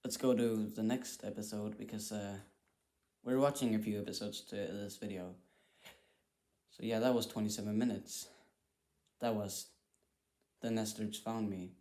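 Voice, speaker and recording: A man's voice: lowish voice, mellow. A man giving casual reaction commentary, thinking aloud in a hesitant, repetitive way. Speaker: reaction video host.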